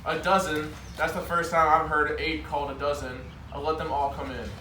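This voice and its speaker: A man's voice: on the high side, flat delivery, a little fast, bright, forceful tone. Man playing Bjorn, blunt host, echoey room. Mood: blunt, skeptical. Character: Bjorn, gruff but helpful male host who warns travellers. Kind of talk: amateur readers' theater reading. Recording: noisy echoey room, distant microphone.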